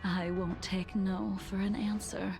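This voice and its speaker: An actress voicing a calm, weary and wistful older woman in a game, speaking slowly and teasing gently. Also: medium pitch, gravelly, over music. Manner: slow, measured, gently teasing. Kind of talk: game voice acting. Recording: game score playing underneath.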